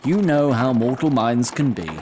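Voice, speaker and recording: A man narrating a scripted fantasy story in a deliberate, literary, wry manner. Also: medium pitch. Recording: clear background noise and ambience.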